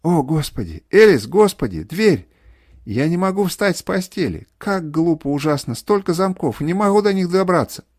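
Мужчина озвучивает перепуганную лежачую старую мать, которая хрипло и настойчиво зовёт на помощь в аудиорассказе. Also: male voice, sits high, animated, normal speed, few pauses, darkish tone, no echo, clean studio sound, pitched above the performer's own voice. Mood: terrified pleading. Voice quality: hoarse. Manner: wailing, repetitive calls of alarm. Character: panicked bedridden old mother crying for help.

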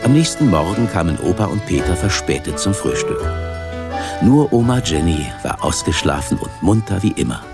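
A man narrating a children's sea adventure in measured, articulate scripted storybook style. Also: low voice, very animated, vocal fry, loud music behind the voice, heavily acted. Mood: calm narrating.

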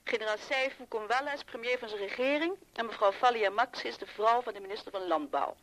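A woman plays a capable secretary in a seventies radio drama. She has a fairly high voice and gives her reports in a composed, businesslike manner. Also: fairly quick, a bit bright, a little hiss or hum, lightly acted, low-quality recording. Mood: reporting. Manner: matter-of-fact, composed. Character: efficient, discreet female secretary and assistant. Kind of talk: 1970s Dutch radio play acting.

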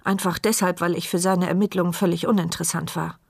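An actress playing an anxious, sharp-minded woman in a radio play, in an intimate, questioning inner monologue. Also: fairly low pitch, steady tone, quick pace, creaky, slightly rough, no echo, clean studio sound. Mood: pensive.

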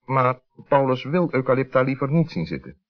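A man narrating a scripted children's story as an expressive storyteller. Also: medium pitch, moderate pace. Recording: dull 1960s radio recording.

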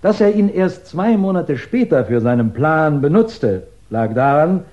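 Methodical detective, higher-pitched male voice. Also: animated, a little mellow. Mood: methodical, assured. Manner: measured, insistent, explanatory, courteous. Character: methodical English police investigator laying out his case. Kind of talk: 1960s German radio crime drama acting. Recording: muffled 1960s radio drama recording.

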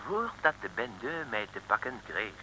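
An actor playing a courteous, excitable French police inspector with a French accent, formal and emphatic, in an old radio serial. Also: male voice, lowish voice, normal speed, background noise. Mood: earnest, confiding. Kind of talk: 1950s-60s radio serial acting.

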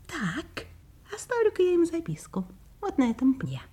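A woman's voice: very high voice, wildly swooping pitch, normal speed, warm tone, full character voice. A woman playing a sly, vain, clever fox in a children's audio play, muttering craftily to herself in a singsong way. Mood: scheming.

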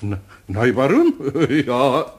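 An actor playing a kindly, patient older craftsman with a Bavarian accent and a fatherly manner in a children's radio play, his voice husky. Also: medium pitch, wildly swooping pitch, darkish tone, exaggerated voice. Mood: gentle, playful. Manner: fatherly, unhurried, chuckling.

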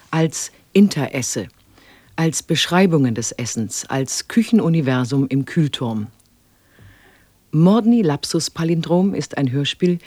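Man, radio announcer, slightly high pitch, measured explanatory reading, scripted broadcast introduction, dry close sound. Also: a little mellow, no room sound.

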